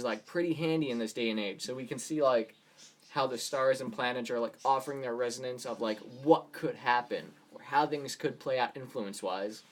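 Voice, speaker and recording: A man hosting a casual live question-and-answer stream on his phone, rambling loosely with lots of "like" fillers. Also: mid-range voice. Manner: rambling, relaxed, many "like" fillers. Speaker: livestream host answering viewer questions. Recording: loud guitar music behind voice. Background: acoustic guitar playing.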